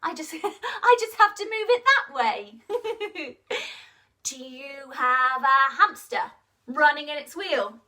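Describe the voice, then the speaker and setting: A woman telling a story to children in an expressive, interactive way, asking questions and encouraging them to act along. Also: high voice, takes it slowly, recorded from a distance.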